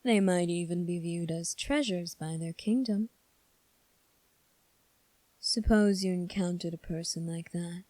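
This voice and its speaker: A woman voicing a composed, coolly defiant young fantasy prince in an ASMR roleplay, speaking formally and deliberately with long pauses. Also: on the low side, warm tone, dry recording, close to an everyday voice. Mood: slow, suggestive.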